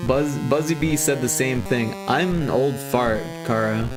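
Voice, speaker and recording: A man's voice: medium pitch, unhurried, music in the background, low-quality recording. A man in his forties, a game developer chatting casually on a livestream, rambling with lots of fillers and restarts. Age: 40s.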